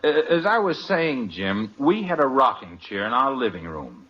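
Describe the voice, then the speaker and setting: An actor playing a stubborn, indignant family friend who spins ghost tales in an old-time radio comedy. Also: male voice, medium pitch, big pitch swings, moderate pace, slightly rough. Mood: insistent. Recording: dull old radio recording.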